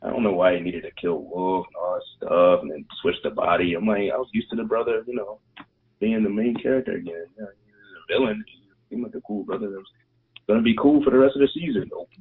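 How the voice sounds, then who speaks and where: A man chatting casually as a podcast co-host, animated and acting out scenes in quoted voices, with a husky voice. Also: fairly low pitch, fast talker. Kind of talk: casual chat. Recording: tinny phone-like audio.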